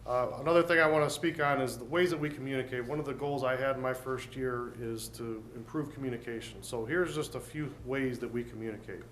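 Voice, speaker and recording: A man's voice: medium pitch, fairly quick, few pauses, a bit bright. A man serving as mayor, giving plain-spoken, earnest remarks at a city council meeting. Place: council chamber. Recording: roomy chamber with faint noise.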